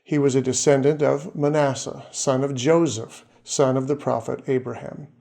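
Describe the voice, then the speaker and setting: A man giving a scripted narration as the presenter of his own series, in a measured, steady way as he works through names and facts. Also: mid-range voice, creaky.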